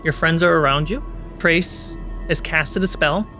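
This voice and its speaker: A man narrating a tabletop game as game master, telling the story deliberately and dramatically with pauses. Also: a little high, sharp tone. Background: background music.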